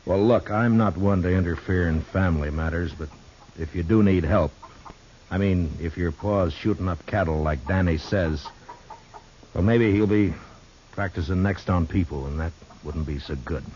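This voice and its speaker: An American man playing a grave, steady frontier marshal in a 1950s radio western, measured and laconic. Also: low-pitched, a little hiss or hum, natural voice. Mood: firm, calm. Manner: measured, laconic, plain-spoken. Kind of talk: 1950s American radio drama acting and first-person narration. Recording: dull 1950s radio recording with music.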